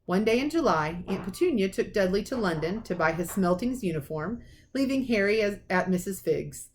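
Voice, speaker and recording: A woman reading a children's storybook aloud as a teacher, with expressive narration. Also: medium pitch, moderate pace. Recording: clearly noisy recording with clicks.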